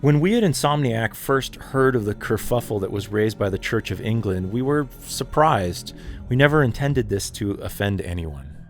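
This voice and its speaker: A man's voice: lowish voice, expressive, moderate pace, soft background music. A man, a former game developer, recalling past work as an interviewee. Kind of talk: interview recollection.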